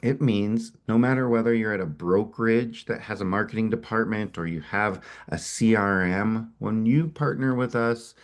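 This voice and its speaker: A middle-aged man with a head cold and a low voice presents at a webinar. He talks with energy and often doubles back on his words. Now and then he sniffles. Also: normal speed, hardly any pauses. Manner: energetic, repeats words as he restarts. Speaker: company founder presenting a webinar.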